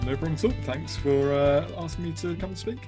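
A man giving measured, conversational answers as an expert interview guest. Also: a little high, dark tone, soft background music. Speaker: interview guest, investment funds lawyer.

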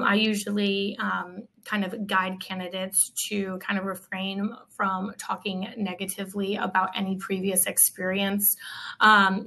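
A woman speaking as a recruiter on a panel, explaining career advice in unscripted, measured phrases. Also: medium pitch, vocal fry, bright, forceful tone. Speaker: recruiter and panel guest giving job-seeker advice. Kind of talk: unscripted advisory panel talk.

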